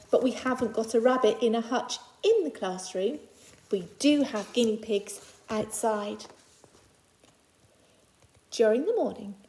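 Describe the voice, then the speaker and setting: A woman's voice: on the high side, mellow. A woman teacher reading a children's picture book aloud to young pupils, phrasing it simply and adding reassuring asides in her own words.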